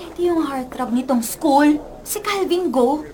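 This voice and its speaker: A woman playing an excitable, teasing college girl in a radio drama, gushing about boys in slangy, melodramatic speech. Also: high voice, measured pace, very noisy. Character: boy-crazy, teasing college girl best friend, excitable. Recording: music under the dialogue.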